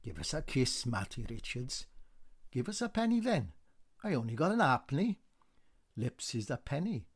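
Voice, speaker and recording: A man's voice: mid-range voice, sing-song, moderate pace, long pauses, breathy edge. A man voicing a cheeky, guilty widower who pleads anxiously in a radio play. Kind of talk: radio play verse drama.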